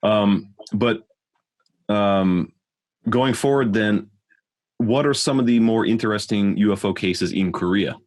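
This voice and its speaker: A man's voice: on the low side, unhurried, a little mellow. A man co-hosting a podcast, asking long, rambling questions and often repeating words. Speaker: podcast co-host. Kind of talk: casual chat.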